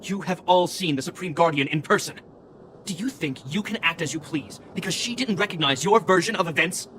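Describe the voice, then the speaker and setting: An actor playing a dutiful, stern young guard captain in a video game, speaking in a formal, commanding, earnest way. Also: male voice, a little high, lively intonation, brisk, sharp tone, a little hiss or hum, rough recording. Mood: accusing. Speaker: military captain. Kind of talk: dramatic video game voice acting. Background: game music.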